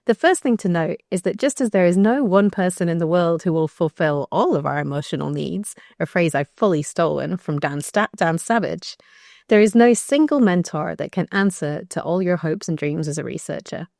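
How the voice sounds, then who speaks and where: A woman with a croaky voice reading her own blog post aloud, measured and thoughtful with brief restarts. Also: lowish voice, expressive, a little mellow, no room sound, clean studio sound. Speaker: researcher narrating her blog.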